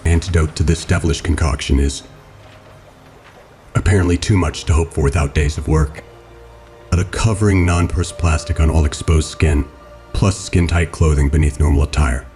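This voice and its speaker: A man plays a brooding vigilante detective in an audio drama, with a very low voice. He is stern, guarded and restrained, with faint music underneath. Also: steady tone, moderate pace, long pauses, a little mellow. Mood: matter-of-fact. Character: grave, guarded male vigilante billionaire detective hero.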